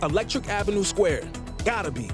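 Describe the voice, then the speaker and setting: Casting: earnest young game cop, high register, clipped urgent delivery, music behind. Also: male voice, very animated, brisk. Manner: urgent, clipped radio talk. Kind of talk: video game police drama acting.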